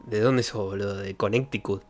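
A man's voice: fairly low pitch, fairly even intonation, brisk, a little mellow, soft background music. Young Argentine man chatting casually on stream. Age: young adult. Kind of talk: casual livestream chat. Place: small bedroom streaming setup. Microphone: desk microphone with pop filter, close.

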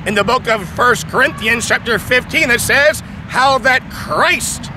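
Man preaching in a big park at dusk, handheld mic, highish voice, emphatic and repeats himself, traffic noise all around. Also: unhurried. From Thailand. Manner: emphatic, repetitive preaching with gestures. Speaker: street preacher. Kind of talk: outdoor sermon storytelling. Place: large open park at dusk, outdoors. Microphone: handheld mic, camera at mid distance. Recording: outdoor recording with clear traffic noise. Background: city traffic.

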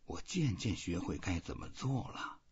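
A man voicing a stoic, reflective old fisherman in a storybook, husky and breathy, musing slowly to himself. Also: low voice, very animated, a little mellow, lo-fi sound, exaggerated voice. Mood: quiet musing.

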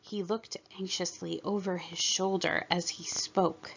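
A woman's voice: lowish voice, flat delivery, a little slow, a bit bright, husky, lo-fi sound. A woman storyteller reading a scripted children's narration aloud.

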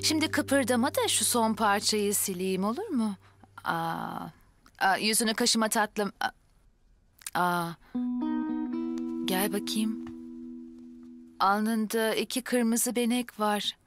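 A young babysitter in a dubbed children's drama. She is gentle and attentive, soothing a small child at a middle pitch. Music plays clearly behind her voice. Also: slow, pauses often. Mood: soothing, concerned. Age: young adult.

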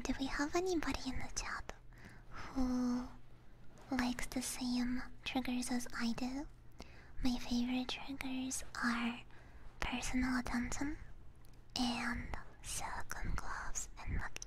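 A woman hosting an ASMR livestream in a soft, breathy whisper, speaking gently and very close to a binaural microphone. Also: high voice. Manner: soft, gentle personal attention. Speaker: livestream host. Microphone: close binaural ear microphone.

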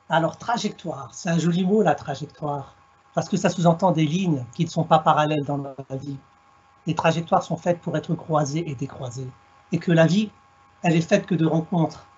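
A man's voice: fairly high pitch, darkish tone, breathy edge, highs cut off. A middle-aged man from Alsace, giving a reflective storytelling talk over a video call with a headset. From France, Alsace. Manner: measured, reflective, plays on words. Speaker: guest speaker, storyteller. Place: home room on a video call.

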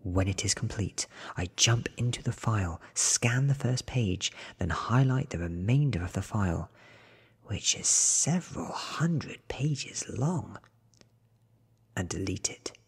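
A man in his thirties softly reading a story ASMR style in a breathy whisper, close to the microphone. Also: lowish voice, lively intonation, slow. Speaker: ASMR book reader. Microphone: close studio microphone.

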